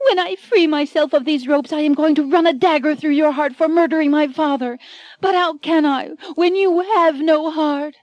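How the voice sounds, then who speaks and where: A woman voicing a headstrong young heroine, shrill and melodramatic. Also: moderate pace, darkish tone, no echo, muffled recording, lightly acted. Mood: indignant.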